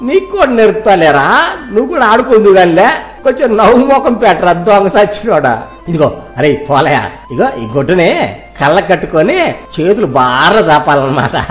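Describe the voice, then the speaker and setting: High-voiced blustering village boss. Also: male voice. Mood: fond, bossy. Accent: Telugu village dialect. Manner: scolding, pompous, colourful comic threats. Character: blustering, boastful village master, scolding yet fond. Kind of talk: comic cartoon story dialogue.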